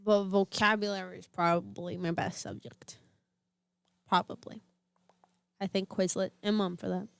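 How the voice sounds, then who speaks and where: A teenage girl chats casually on a home podcast, stopping to think out loud with "um" and "like". Her voice sits at an ordinary pitch and sounds dry and close, with no room around it. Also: little pitch movement, moderate pace, vocal fry, pauses often, smooth, dry recording. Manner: chatty, thinks aloud with fillers. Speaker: podcast co-host. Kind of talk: casual chat.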